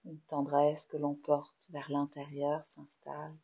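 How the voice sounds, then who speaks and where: Slow soothing French woman guiding meditation. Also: deep voice, monotone, husky, narrow, tinny audio. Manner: slow, soft, soothing guidance with long pauses. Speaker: yoga nidra teacher. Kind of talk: guided meditation.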